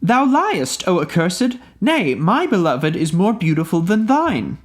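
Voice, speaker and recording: A haughty female jinn who snaps and commands, her voice lifted well above a man's speaking range, as a male reader puts her on. She is proud and quick to quarrel. She speaks sharply, like a storybook spirit. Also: a little high, sing-song, pitched above the performer's own voice. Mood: indignant. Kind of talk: storybook reading of an old tale.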